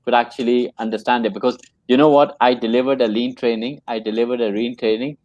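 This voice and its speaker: A man's voice: medium pitch, fairly even intonation, fast talker, darkish tone, no room sound, muffled recording. A man working as a trainer, telling a story in a casual conversation. He speaks earnestly and often repeats his phrases. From Pakistan. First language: English.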